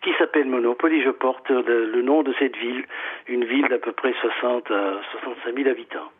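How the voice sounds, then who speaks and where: A man's voice: medium pitch, fairly even intonation, a little fast, slightly rough. A middle-aged man from southern Italy, a museum owner speaking French as a guest on a phone interview, hesitant and repeating words often. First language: Italian. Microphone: telephone. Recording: tinny phone line.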